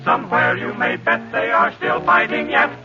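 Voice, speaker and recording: A man's voice: moderate pace, lo-fi sound, loud music behind the voice. A man singing a comic ballad in a 1940s radio vocal group style. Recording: band-limited 1940s radio recording. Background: loud musical accompaniment.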